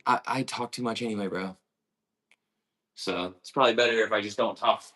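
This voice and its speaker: A man's voice: lowish voice, steady tone, a little fast, bright, forceful tone. A young man chatting casually as he bakes, rambling and laid-back, with lots of slang. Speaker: home baker. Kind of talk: casual chat while baking.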